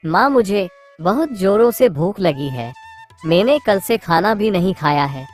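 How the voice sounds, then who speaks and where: A woman's voice: mid-range voice, animated, a little slow, natural voice. A woman voicing a meek, hungry, overworked stepson in a moral-story cartoon, plaintive and submissive, with music underneath. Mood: plaintive, weary.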